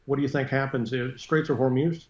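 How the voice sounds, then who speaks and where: A roomy, slightly dull recording carries an American man with a very even, flat delivery. He talks as an interview guest, bluntly and matter-of-factly, in plain conversational commentary. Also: medium pitch, fairly quick. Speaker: interview guest, retired military fighter pilot and aviation businessman. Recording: roomy echo, dull muffled sound.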